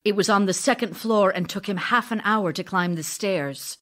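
An actress playing a devoted, anxious wife in an audio drama, voicing letters to her absent husband in an intimate, earnest, expressive way. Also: mid-range voice, moderate pace, natural voice. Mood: narrating, reflective. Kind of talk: audio drama acting, reading love letters aloud.

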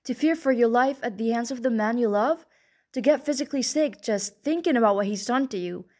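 A woman narrator voicing a furious, wounded young woman who shouts bitterly. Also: a little high, animated, moderate pace, close to an everyday voice.

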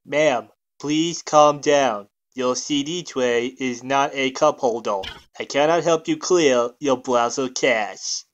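A man's voice: mid-range voice, slow pace, hardly any pauses, lo-fi sound, lightly acted. A man voicing an oblivious, chipper cartoon tech-support agent with cluelessly polite customer-service patter. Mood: cheerfully unhelpful. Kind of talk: cartoon fandub acting.

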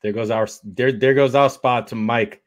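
A man's voice: mid-range voice, fairly even intonation, moderate pace. A man hosting a podcast over a video call, speaking hesitantly with many fillers. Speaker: podcast host. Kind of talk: casual podcast chat.

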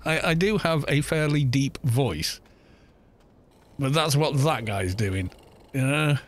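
A man's voice: mid-range voice, expressive, slow, a little hiss or hum. A man streaming a game, chatting casually in a rambling, self-deprecating way on a close desk mic.

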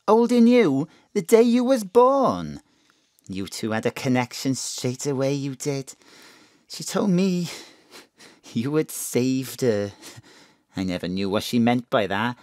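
A woman's voice: a bass voice, a little mellow, dry recording, studio quality, full character voice. An actress playing a doting, chatty, slightly daffy middle-aged mother with a Welsh accent, fussing with endearments in an audio drama. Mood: gentle reminiscing. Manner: fussing endearments and tag questions.

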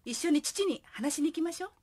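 An actress playing a worried young singer, an earnest, sighing daughter in love, in a radio drama. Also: female voice, sits high, expressive, a little fast, a little mellow. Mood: hopeful.

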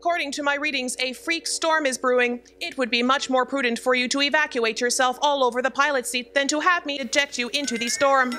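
Woman as a high-voiced, precise spaceship computer. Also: fairly even intonation, pushed and bright. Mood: calm, unyielding. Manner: measured, precise, matter-of-fact. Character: literal-minded, unflappable self-aware garbage spaceship computer. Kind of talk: staged sci-fi comedy audio drama. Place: theatre stage, live performance. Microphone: stand microphone.

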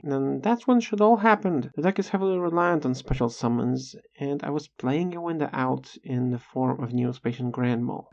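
A video creator narrating a scripted personal story in a measured, slightly halting way with dry sarcasm, on a dull lo-fi recording. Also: medium pitch, vocal fry, a little mellow.

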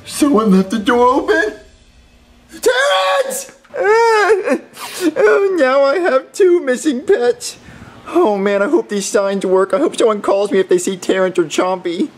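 A man's voice: extremely high pitch, sing-song, slow pace, exaggerated voice. A man voicing an anxious, naive little boy puppet in a scripted comedy, hesitant and stammering. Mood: tearful distress. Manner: hesitant, stammering, repeats phrases when worried.

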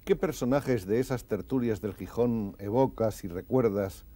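A man's voice: lowish voice, normal speed, dark tone. A man hosting a formal television interview, introducing his guest and prompting him with courteous questions. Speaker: interviewer host.

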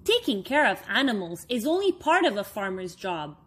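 Lady reading as helpful farm uncle in a kids book, explains stuff patiently, animated. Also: medium pitch, moderate pace, husky. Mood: patient, instructive. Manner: instructive, patient.

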